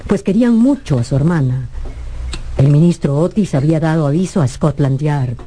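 A woman's voice: deep voice, lively intonation, normal speed, dark tone, slight background noise. A woman narrating a scripted radio drama with a dramatic storytelling delivery.

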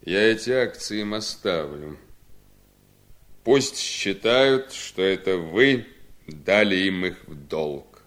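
Embittered husband, low pitch, highly animated intonation, ironic, deliberate, resolute, Soviet radio drama. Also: male voice, heavily acted. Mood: cold, resolute. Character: bitter, resolute husband coolly punishing his scheming wife.

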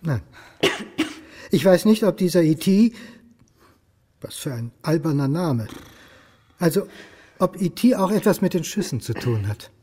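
A beleaguered male historian in a radio crime play. His voice is mellow and slightly husky, recorded close and dry, with faint music. He speaks earnestly and haltingly, brooding and stubborn. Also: fairly high pitch, sing-song, no room sound, exaggerated voice. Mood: tense, earnest. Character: beleaguered male historian and university lecturer, indignant, doubting, stubborn. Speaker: radio drama actor. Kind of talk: German radio crime drama acting, dialogue and inner monologue.

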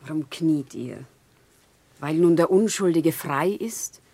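A woman's voice: sits low, expressive, slow pace, mellow, slightly rough, no echo, full character voice. A woman playing an earnest, tormented humble wife in a German radio drama, speaking in a solemn, fervent, declamatory way.

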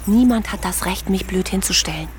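A woman's voice: mid-range voice, brisk, noisy background, close to an everyday voice. An actress playing a brazen, defiant young shoplifting mother in a radio drama, blunt and challenging. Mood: indignant.

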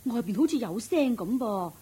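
A middle-aged neighbour in a radio play. Her voice is fairly high and husky. She is chatty, effusive and coaxing. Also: expressive, normal speed, dark tone. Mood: curious, coaxing. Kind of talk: Cantonese radio drama acting.

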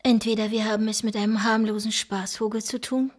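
Woman acting as brainy investigator in a radio play, explains stuff, slightly high voice. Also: fairly even intonation, fairly quick, natural voice. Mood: pondering. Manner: explanatory, analytical. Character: female investigator, analytical, knowledgeable, dryly witty. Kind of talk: radio drama dialogue.

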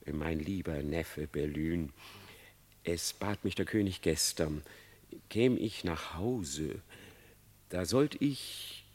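Deep voiced sly fox for a radio play, oily and wheedling, swoops around a lot. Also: male voice, full character voice. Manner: theatrical, wheedling, unctuous. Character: sly, flattering male fox, scheming husband and uncle. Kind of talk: German radio play verse drama.

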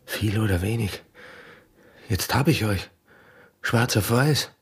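Very husky, tormented Austrian border guard. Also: male voice, on the low side, takes it slowly, exaggerated voice. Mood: triumphant. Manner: agitated, threatening. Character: proud, tormented border guard, tempted but stern. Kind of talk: radio drama acting.

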